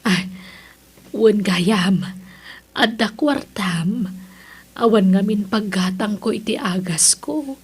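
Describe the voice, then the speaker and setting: A woman's voice: medium pitch, takes it slowly, long pauses, slightly rough. A woman playing a frail, lonely elderly mother in an audio drama, hesitant, sighing and apologetic. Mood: meek, sorry. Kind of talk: Ilocano audio drama acting.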